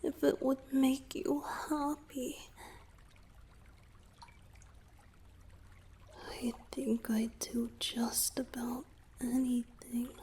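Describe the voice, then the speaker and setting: A lovesick young merman, acted by a woman, whispers in a high, creaky voice for a romantic ASMR roleplay. The delivery is halting, tender and anxious, full of earnest yearning. Noticeable noise and watery ambience sit behind the voice. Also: steady tone, dark tone. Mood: tender, adoring. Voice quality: breathy whisper.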